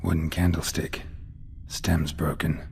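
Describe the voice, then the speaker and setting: An actor playing a terse, world-weary monster hunter in a video game, with a low gravelly murmur and clipped, deadpan delivery. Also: male voice, unhurried, slight background noise, heavily acted. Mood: pensive, muttering. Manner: clipped, deadpan, muttering observations.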